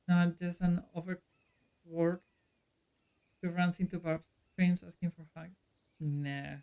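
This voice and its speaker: Slow-talking woman streamer with a mellow voice. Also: lowish voice, steady tone, clean tone. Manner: friendly and unhurried, chatting directly to chat. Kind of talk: casual chat with stream viewers while playing.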